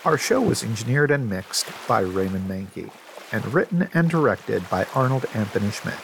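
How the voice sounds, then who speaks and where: Male announcer, slightly low voice, scripted radio play introduction, faint background noise. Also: very animated, moderate pace, gravelly, rough recording. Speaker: podcast announcer.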